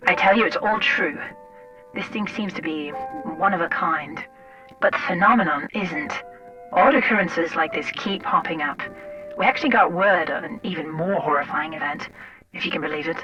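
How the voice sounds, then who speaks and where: A woman's voice: fairly low pitch, animated, normal speed, natural voice. A woman playing a cool, dryly teasing intelligence source in an audio drama, speaking frankly and familiarly. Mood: grave. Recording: distant, dull lo-fi sound under music.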